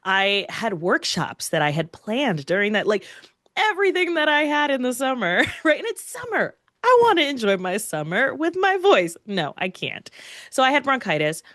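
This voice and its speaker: A woman's voice: on the high side, big pitch swings, normal speed, fairly bright tone, dry recording, studio quality. A woman in her thirties from upstate New York, chatting as a podcast guest over a video call, upbeat and laughing often. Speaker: podcast guest, agency owner. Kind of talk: casual interview chat.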